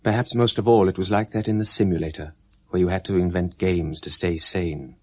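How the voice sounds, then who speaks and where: Husky, deep-voiced male narrator, measured and literary, for a 1970s radio play. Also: fairly even intonation, a little mellow. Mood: calm. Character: detached male narrator of a space drama.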